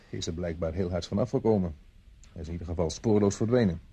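A dutiful traffic policeman reporting in a radio drama. His voice is fairly low and husky, his delivery precise and official with small hesitations. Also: quick pace, mellow. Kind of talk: radio play acting.

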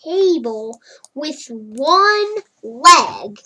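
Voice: high-pitched, sing-song, very slow, sharp tone, heavily acted. A child voicing a cheerful number block that has just found out it is shaped like a one-legged table, in a playful, sing-song way. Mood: proud, playful.

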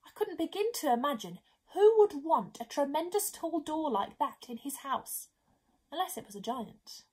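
Young female children's reader: high-pitched, very lively, dramatic stresses, stretched key words. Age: young adult. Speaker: story reader. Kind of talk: expressive children's storybook reading. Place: small bedroom, indoors.

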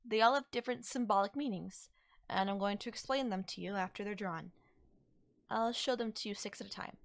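A woman's voice: medium pitch, creaky, no echo, studio quality, lightly acted. A woman playing a teasing young forest witch in an audio roleplay, coy and soothing as she talks directly to the listener. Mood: coy, inviting. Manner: coy, soothing, conversational with drawn-out words. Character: playful, teasing young female forest witch, gently reassuring. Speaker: roleplay voice actor.

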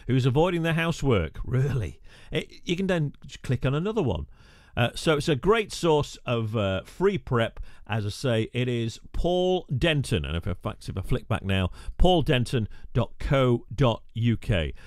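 Radio presenter, male, mid-pitched, casual tutorial talk, dry close mic. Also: big pitch swings, normal speed, no room sound. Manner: chatty, wry asides, frequent "uh" fillers. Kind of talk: screen-recorded tutorial narration.

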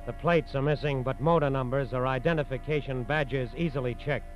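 An American man giving grave, measured scripted narration for a 1940s radio crime drama. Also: medium pitch. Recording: dull vintage radio, music behind.

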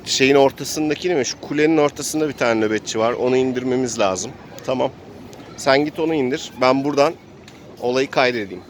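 A man's voice: medium pitch, fairly quick, slight background noise. A man improvising narration for a tabletop role-playing game, telling the action as if he were living it. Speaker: game master and player.

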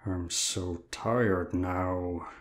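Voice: sits low, steady tone, drawn-out words. An actor in an audio drama playing Sloth, a lazy, weary demon with a drawling, indifferent manner. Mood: weary, bored.

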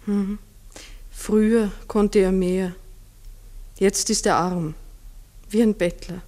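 An actress playing a troubled farm wife, telling her story gravely and deliberately in a 1960s radio play. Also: medium pitch, fairly even intonation, warm tone, lightly acted. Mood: grave, secretive. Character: troubled farm wife recounting an eerie event. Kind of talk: 1960s German-language radio play acting.

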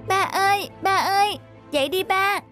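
Small boy for animation. High voice, eager, full of wonder, over music. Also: normal speed. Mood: pleading. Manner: bright-eyed, wondering. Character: chubby little boy, eager, dreamy and curious. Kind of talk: animated moral tale dialogue. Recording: music behind the voice.

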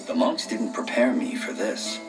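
A man's voice: fairly low pitch, animated, normal speed, lightly acted. A man playing an earnest, curious young monk champion in fighting game dialogue. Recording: game audio with loud music.

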